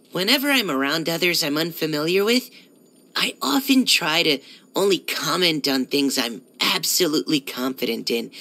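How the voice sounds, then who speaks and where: An actor playing a shy, earnest, polite young knight surveyor in a video game, speaking hesitantly and eager to please. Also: male voice, high voice, sharp tone, heavily acted. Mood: anxious, hesitant. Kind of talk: scripted video game character lines.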